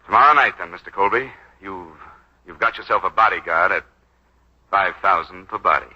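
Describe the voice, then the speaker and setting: An actor playing a wisecracking, hard-boiled bodyguard with a flippant, wry manner in a 1940s radio drama. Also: male voice, low voice, normal speed, slight background noise, natural voice. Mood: wry, flirtatious. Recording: dull, lo-fi 1940s radio recording.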